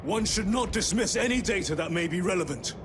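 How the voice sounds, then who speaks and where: A man's voice: high-pitched, lively intonation, fairly quick, noisy background. A man playing an eager, inquisitive sergeant of space soldiers in a video game, forthright and formal. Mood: curious, formal. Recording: loud music behind the voice.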